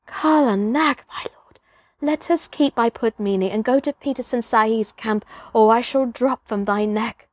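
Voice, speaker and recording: A woman voicing an exhausted but excitable young boy elephant-driver in a storybook, earnest, proud and breathlessly emphatic. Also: fairly high pitch, a little slow, dry recording, lightly acted. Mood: weary pleading.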